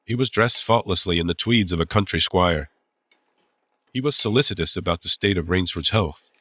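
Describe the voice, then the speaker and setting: A man narrating a dramatized audiobook as a storyteller, measured and suspenseful. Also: low-pitched, pushed and bright, no room sound, studio quality.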